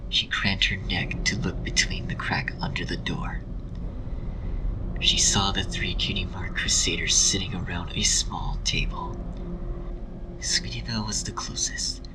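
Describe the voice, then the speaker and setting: A man with a breathy voice reading a dramatic story as narrator. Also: sits low, fairly even intonation, a little slow, whispered, poor audio.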